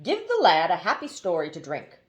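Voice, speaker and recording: A woman's voice: lowish voice, wildly swooping pitch, brisk. A woman voicing an indignant talking hoopoe bird that gives officious, emphatic orders in a storybook. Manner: emphatic, officious, clipped commands.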